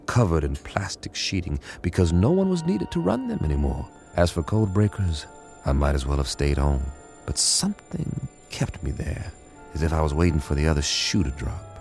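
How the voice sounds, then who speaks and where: An actor playing a weary, sardonic codebreaker, narrating and talking wryly in a radio drama. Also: male voice, rumbling low, gravelly, a little mellow, full character voice. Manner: wry, world-weary. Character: weary, sardonic male codebreaker telling his story.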